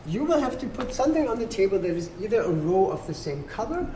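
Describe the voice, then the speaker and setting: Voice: medium pitch, sing-song, fast, mellow. A person patiently explaining board game rules as the host to players at a table and checking that they follow. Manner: patient, instructive, checks understanding. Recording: noisy room with background ambience.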